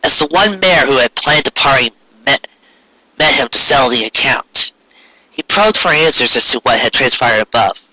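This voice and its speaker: A man's voice: medium pitch, expressive, normal speed, bright, forceful tone, dry recording, poor audio. A man reading a story aloud in a dramatic narrating style. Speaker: fan fiction reader.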